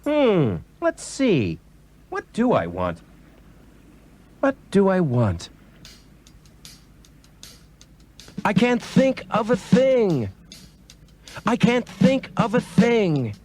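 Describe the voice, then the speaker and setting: A performer playing a meek, hesitant fisherman in a musical children's story, puzzled and deliberate. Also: medium pitch, huge pitch swings, a little mellow, heavily acted. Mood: pondering.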